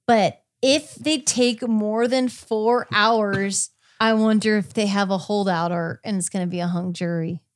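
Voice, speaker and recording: A woman's voice: mid-range voice, fairly even intonation, a little slow, hardly any pauses, no room sound, studio quality. A woman co-hosting a true crime podcast in casual, conversational style. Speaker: podcast co-host.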